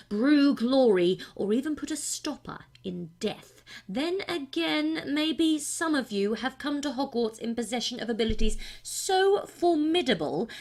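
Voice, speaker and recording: A woman playing a stern, disdainful potions master in a film monologue, speaking with slow, sneering precision. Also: on the high side. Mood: cold, grand.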